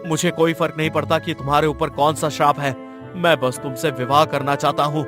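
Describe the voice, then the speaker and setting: Male actor as determined fairy-tale king, earnest, raised pitch, cartoon story, music behind. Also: flat delivery, brisk, close to an everyday voice. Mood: resolute. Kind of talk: Hindi cartoon story voice acting.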